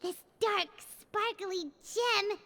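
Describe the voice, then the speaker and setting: A woman voicing a tiny, excitable, greedy childlike companion in a video game, with a squeaky voice. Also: very slow, noisy background, heavily acted. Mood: uneasy. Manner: exclaiming, refers to self in third person.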